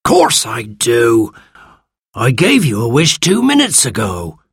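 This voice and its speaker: A narrator putting on a hoarse, grumpy old sand fairy. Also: medium pitch, wildly swooping pitch, no room sound, clean studio sound, heavily acted. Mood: matter-of-fact, gruff. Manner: slow, drawn-out, irritable delivery. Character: grumpy ancient male furry sand fairy creature.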